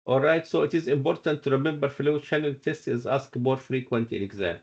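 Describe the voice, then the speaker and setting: A man's voice: medium pitch, fairly quick. Male medical tutor on a dull online call. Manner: repeats points, checks understanding often. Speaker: medical lecturer and exam coach. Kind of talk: interactive online teaching with questions and explanations.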